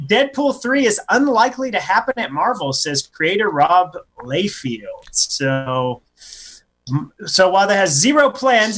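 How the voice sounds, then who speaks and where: Male radio sidekick on entertainment news, slightly high voice, casual reading style with commentary. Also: fairly bright tone. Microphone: studio radio mic.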